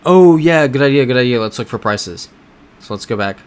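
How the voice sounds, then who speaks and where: A man's voice: medium pitch, expressive, a little fast, darkish tone, clean tone. An American man streaming a map-guessing game, reading viewers' messages aloud and talking through his guesses. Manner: reads chat messages aloud and reasons through map clues. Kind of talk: casual live-stream chat.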